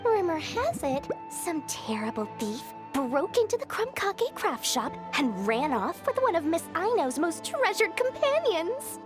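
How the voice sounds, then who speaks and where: A small, scheming female merchant from a video game, high-voiced and very animated. The pitch is smug, theatrical salesmanship, with music clearly behind it. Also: unhurried, few pauses, exaggerated voice. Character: Dory, small sly greedy female merchant.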